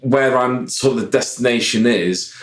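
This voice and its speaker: A man's voice: mid-range voice, normal speed, reverberant. A man, a guest musician rambling in casual podcast chat and repeating "like I said". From Yorkshire, England. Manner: rambling, keeps saying "like I said".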